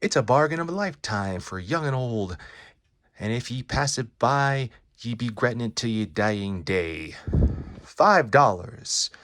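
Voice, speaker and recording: A man performs a bombastic carnival barker from a comic book, hawking a sideshow. The patter is loud and repetitive, with long stretched words and a pitch that swings high and wide. The recording is dry and close. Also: expressive, no room sound. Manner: loud, drawn-out showman's patter with repeated phrases. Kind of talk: dramatized comic book reading.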